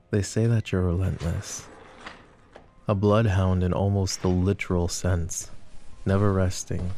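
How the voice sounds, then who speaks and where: Man playing a low, smug, flirty mafia boss. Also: vocal fry, mellow, exaggerated voice. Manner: teasing, mocking, intimate drawl with pet names. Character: smug, flirty, possessive male mafia boss under interrogation. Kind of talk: ASMR roleplay acting.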